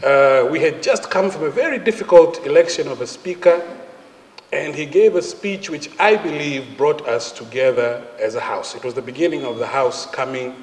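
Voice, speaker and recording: A man's voice: mid-range voice, moderate pace. A man in his forties, a legislator, giving a formal speech in a parliament chamber, earnest and reminiscing. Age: 40s. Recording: echoey hall.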